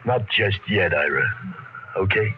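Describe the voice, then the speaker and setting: An actor playing a rough, cynical crewman with slangy, laconic talk, in 1950s radio drama style. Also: low-pitched, expressive, measured pace. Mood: quietly menacing. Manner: slangy, laconic, hard-boiled. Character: rough, cynical tough-guy expedition crewman, menacing and slangy. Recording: dull, noisy vintage radio recording.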